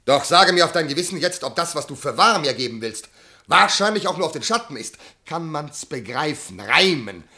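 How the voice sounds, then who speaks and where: Bright, swooping, imperious master. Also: male voice, on the high side, sing-song, normal speed, full character voice. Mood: strained patience. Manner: declamatory, indignant, incredulous. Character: imperious, exasperated master, a proud husband. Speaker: actor. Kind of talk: classical verse comedy, radio drama acting.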